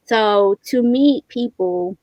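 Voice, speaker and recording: A woman's voice: on the high side, slow, dry recording, lo-fi sound. A woman hosting a casual conversational interview, speaking in an encouraging, affirming way. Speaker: interviewer hosting an online read-along.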